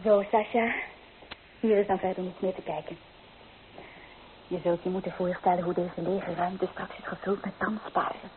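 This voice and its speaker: Actress as dreamy young bride-to-be, tinny old radio. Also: female voice, on the low side, steady tone, normal speed, pauses often, lightly acted. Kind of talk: Dutch radio drama acting. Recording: tinny, hissy old radio play recording.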